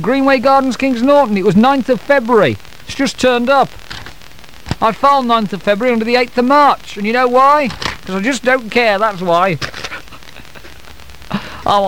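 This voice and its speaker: A man's voice: high voice, a bit bright, slight background noise, rough recording. A man hosting breakfast radio, reading out birthday letters with cheeky, teasing, rambling banter. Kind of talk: jokey morning radio patter.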